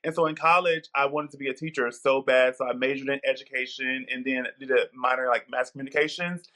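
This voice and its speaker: A man from the Midwest, in his thirties, telling his story as an interview guest in an outspoken, animated way. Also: mid-range voice, fast talker. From Milwaukee, Wisconsin. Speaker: guest, TV producer. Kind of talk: casual interview talk.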